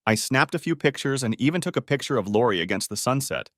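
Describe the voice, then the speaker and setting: Expressive male storyteller, dry studio sound. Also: mid-range voice, fast talker, no echo. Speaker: narrator of a first-person story. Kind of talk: scripted story narration.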